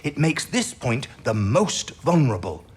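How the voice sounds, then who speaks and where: An actor playing an eccentric, ailing older British codebreaker in an audio drama, halting and exclamatory, with a fondness for whimsy. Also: male voice, medium pitch, big pitch swings, darkish tone, slightly rough. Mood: excited, whimsical.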